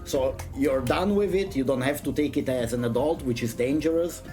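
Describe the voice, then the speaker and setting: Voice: medium pitch, normal speed, mellow, faint music behind. A non-native English speaker streaming, chatting casually in a jokey, sweary, slangy way between bits of dramatic read-aloud. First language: not English. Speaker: streamer reading a visual novel aloud and chatting.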